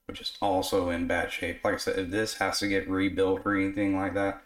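A man with a hoarse voice from a recent illness, casually explaining a radio repair at his workbench. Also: deep voice, a little fast. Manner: relaxed, filler-heavy explaining while working. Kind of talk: casual workshop narration. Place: workbench in a home repair shop.

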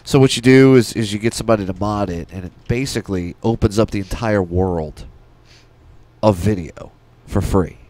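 A man's voice: fairly low pitch, normal speed, a little mellow. A middle-aged man chatting casually and bluntly on a podcast, swearing freely, close to the microphone. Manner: foul-mouthed, blunt. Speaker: podcast guest who works as an audio engineer. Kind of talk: casual chat. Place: small podcast studio. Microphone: close podcast microphone with headset.

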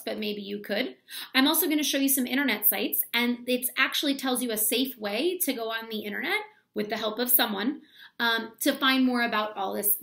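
A woman in her thirties reads an instructional book aloud for young children. Her voice sits fairly high and has a clear creak to it. She explains each point step by step, often asking the listeners questions as a schoolteacher would. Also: a bit bright. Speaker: elementary school teacher reading aloud. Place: small room at home, indoors.

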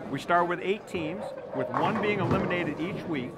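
A man's voice: high voice, normal speed, very noisy. A middle-aged man hosting a bowling show in a bowling alley, explaining the format in an upbeat way on a lapel mic. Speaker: host. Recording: loud music underneath.

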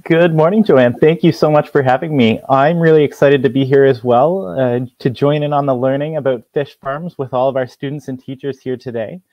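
A man in his thirties, earnest and measured, speaking as a guest co-host on a video call. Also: mid-range voice, dry recording, lo-fi sound. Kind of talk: formal land acknowledgement.

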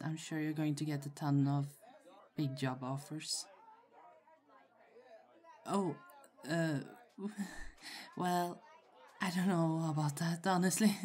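A young woman playing a shy, friendly college student in an ASMR roleplay, speaking in a hesitant, halting, giggly way. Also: low voice, dark tone. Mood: shy, thoughtful. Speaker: voice actor in roleplay audio. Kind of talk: ASMR roleplay, acted casual conversation. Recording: faint crowd chatter behind. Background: party crowd murmur.

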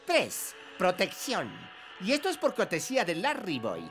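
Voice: medium pitch, huge pitch swings, moderate pace, a bit bright, faint music behind. A performer voicing a showy, fawning worm henchman in a Latin American Spanish cartoon dub, eager to please. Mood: eager briefing. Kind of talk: animated cartoon dubbing.

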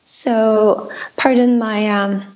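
A woman from Quebec with a Québécois French accent, French her first language, chatting as a podcast guest with many pauses and ums. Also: a little high, little pitch movement, lo-fi sound. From Quebec, Canada. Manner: hesitant, many ums, warm laughing asides. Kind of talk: casual interview chat.

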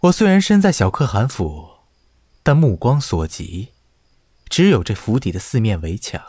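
A man playing a shrewd, wry steward of an ancient household in a costume audio drama, speaking in a measured, theatrical way. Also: on the low side, sing-song, darkish tone, slight background noise. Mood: wry, probing.

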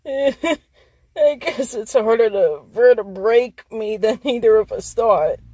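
A woman voicing Sans, a teasing, easygoing skeleton brother in an amateur audio drama, with a casual, halting, giggly delivery. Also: fairly high pitch, a little mellow, slightly rough, no echo. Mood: sheepish.

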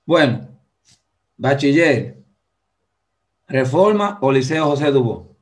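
Chatty Dominican host on a video call. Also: male voice, medium pitch, very animated, slow. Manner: chatty, rambling storyteller. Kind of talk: casual interview chat. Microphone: video call, earphone mic.

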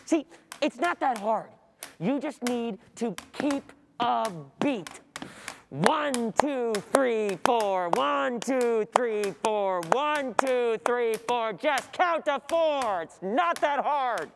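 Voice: mid-range voice, lively intonation, slow, gravelly. A performer playing an agitated, distracted student who thinks aloud in an exasperated, sarcastic theatrical monologue. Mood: pointed, instructing. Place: indoor theatre stage. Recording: noisy stage recording from a distant camera.

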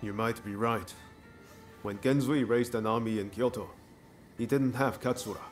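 An actor playing an earnest, loyal young samurai in a video game cutscene, speaking reflectively and with resolve. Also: male voice, medium pitch, normal speed, faint music behind, natural voice.

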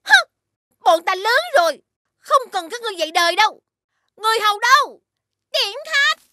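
An actress playing a haughty, suspicious, greedy rich sister-in-law in an animated fairy tale, with snooty exaggeration. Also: female voice, extremely high pitch, normal speed, no echo, clean studio sound, heavily acted. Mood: greedy, scornful.

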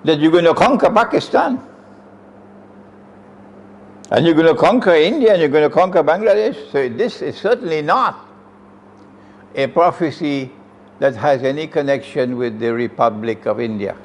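A man's voice: a little high, expressive, normal speed, long pauses. An older man from Trinidad with a Trinidadian accent, giving an emphatic religious lecture on a stand mic, with rhetorical questions to his audience. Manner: emphatic, rhetorical questions, sweeping hand gestures. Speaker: Islamic lecturer. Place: room with green chairs, indoors. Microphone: stand mic close in front.

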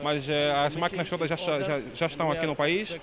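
A male business owner talks in a halting way, repeating words and pausing on fillers. His voice sits a little high. Crowd noise is clear behind him outdoors. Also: steady tone. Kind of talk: interview answer.

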